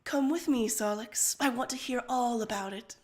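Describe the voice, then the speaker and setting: A woman's voice: on the high side, moderate pace, lightly acted. A woman voicing a dry, commanding female head archivist who speaks in a curt, knowing way for a story reading.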